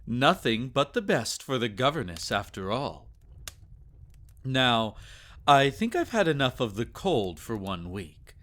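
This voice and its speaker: A man playing a teasing, doting vampire lord in an intimate ASMR romance roleplay, with soft chuckles and sighs. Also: mid-range voice, big pitch swings, a little slow. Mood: teasing, flirtatious.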